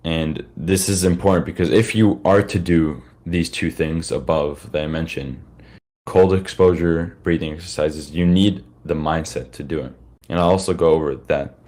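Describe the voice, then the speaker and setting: Man, very low pitch, worn-out voice; loose, filler-heavy live lecturer. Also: moderate pace, darkish tone. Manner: casual, rambling, many fillers and restarts. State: tired, worn-out voice. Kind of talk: informal live lecture to listeners.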